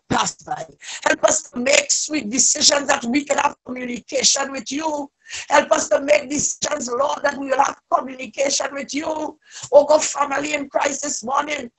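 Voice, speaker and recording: A woman leading a fervent, extemporaneous church prayer, pleading with repeated invocations and a raspy voice that swells into loud cries. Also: high voice, poor audio.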